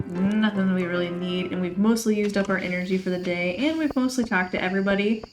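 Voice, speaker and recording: A chatty woman, somewhat hoarse from losing her voice, rambling through casual gameplay commentary with frequent laughs. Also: medium pitch, quick pace. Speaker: let's play host. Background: game music.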